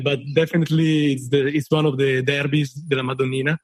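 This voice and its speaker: A man's voice: a little high, little pitch movement, no echo. Hesitant man in his thirties. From Italy. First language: Italian. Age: 30s. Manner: halting, many fillers, swears and laughs freely. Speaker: listener guest. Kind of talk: casual podcast storytelling.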